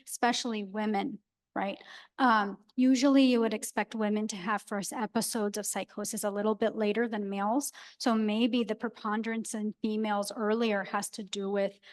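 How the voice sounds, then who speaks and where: A woman at a middle pitch answers a colleague's point. She speaks in a measured way and pauses with fillers. She builds her reply step by step. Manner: measured, appreciative, frequent fillers. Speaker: presenting physician. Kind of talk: academic case discussion.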